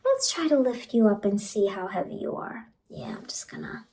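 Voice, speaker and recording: A woman voicing a sinister, playful immortal clown in a horror ASMR roleplay, murmuring softly and coaxingly to the listener and often slipping into whispers. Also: mid-range voice, lively intonation, moderate pace, lightly acted. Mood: quiet menace.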